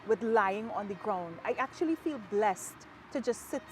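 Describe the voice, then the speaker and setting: A woman from Trinidad and Tobago with a Trinidadian accent, an author talking about her book in an outdoor interview in a park, reflective and quick to laugh. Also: medium pitch, expressive, a little hiss or hum. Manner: warm, reflective, laughs easily. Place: outdoors in a park, rain falling.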